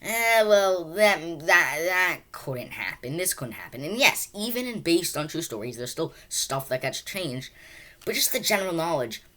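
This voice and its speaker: A woman's voice: fairly low pitch, big pitch swings, moderate pace, a bit bright. A woman giving a casual, unscripted film review, rambling and often repeating words as they restart sentences.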